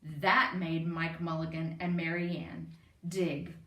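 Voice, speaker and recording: A woman reading a children's picture book aloud in an expressive, emphatic way. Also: sits low, slow. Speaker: storyteller. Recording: roomy, distant microphone.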